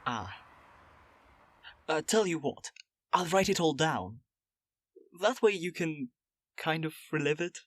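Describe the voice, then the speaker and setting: An actor playing a weary but gentle male ex-agent in an audio drama, speaking in an understated, measured way. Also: fairly high pitch, expressive, dry recording, clean studio sound. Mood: wistful.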